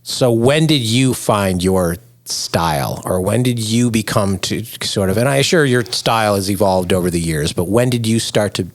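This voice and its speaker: A man's voice: lowish voice, moderate pace, few pauses. A man interviewing his co-hosts in a casual podcast chat, asking curious follow-up questions.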